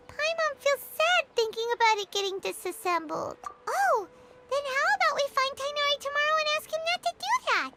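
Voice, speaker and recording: Squeaky, excitable little fairy girl. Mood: concerned. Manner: speaks of herself in the third person. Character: tiny childlike female fairy companion, earnest and excitable. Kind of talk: video game character acting. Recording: background music over game dialogue.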